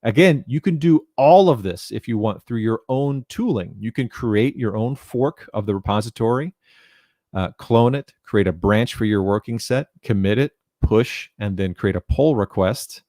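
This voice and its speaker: A man's voice: fairly low pitch, normal speed, mellow, dry recording. Man presenting, close dry sound. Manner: reassuring, informal. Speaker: presenter, software documentation content developer. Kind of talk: conversational technical presentation with slides. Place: indoor room at home, online conference video call. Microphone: headset.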